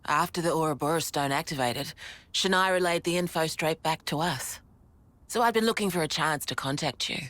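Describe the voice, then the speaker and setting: A woman's voice: sits low, brisk, a bit bright, dry recording. A woman playing a confident resistance leader in a game, speaking in a colloquial, wry and authoritative way. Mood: frank, wry. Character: confident, frank, easygoing female resistance leader.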